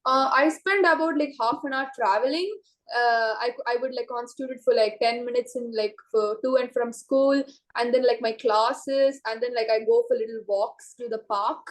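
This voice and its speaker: A woman's voice: on the high side, normal speed. A teenage girl answering mock exam questions in a casual way, with many filler words. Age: teens. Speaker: student.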